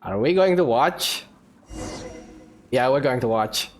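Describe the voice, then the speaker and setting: A man's voice: medium pitch, expressive, slow pace, a little hiss or hum. A man giving casual, informal playthrough commentary as a game streamer, with amused reactions.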